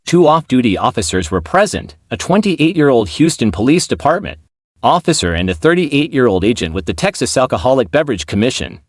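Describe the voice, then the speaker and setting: A male news narrator delivers a scripted report. His medium-pitched voice rises and falls in an animated way, and the recording is dry with hardly any room sound. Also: dry recording.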